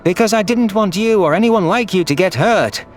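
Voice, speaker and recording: A man's voice: sits high, animated, normal speed, faint music behind, voice pushed up. A man voicing a bitter, defensive young male alien soldier, pleading intensely in a sci-fi audio drama.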